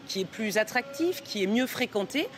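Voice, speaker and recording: A woman's voice: a little high, expressive, normal speed, a little hiss or hum. A woman speaking as a local official in an interview on location.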